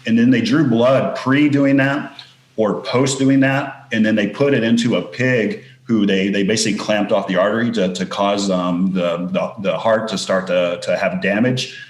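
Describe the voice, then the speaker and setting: A man from West Texas with a West Texas accent, a physical therapist explaining things as a guest in a casual podcast interview, relaxed with many restarts. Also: lowish voice, normal speed, reverberant.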